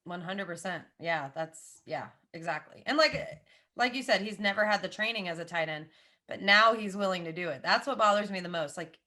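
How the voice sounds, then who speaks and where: A woman in her thirties with a Southern American accent, a podcast guest chatting casually and giving animated, opinionated takes with lots of asides and repeated emphasis. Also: mid-range voice.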